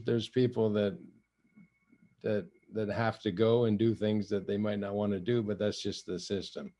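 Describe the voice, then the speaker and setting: Older African-born man, Swahili first language, lowish voice, measured interview guest with many restarts. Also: darkish tone. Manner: measured storytelling with frequent restarts and light laughs. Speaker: guest, retired general and envoy. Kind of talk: interview conversation.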